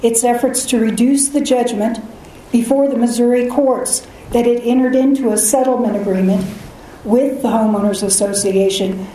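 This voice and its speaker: A soft-voiced female attorney presenting a measured, methodical oral argument before an appeals court. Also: a little high, steady tone. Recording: distant, very echoey courtroom audio, faint noise.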